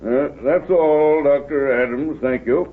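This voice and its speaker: An American actor playing an authoritative small-town judge with an official, deliberate manner in a 1930s radio drama. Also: male voice, fairly high pitch, natural voice. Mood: stern, official. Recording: tinny, noisy, distant-sounding 1930s radio recording.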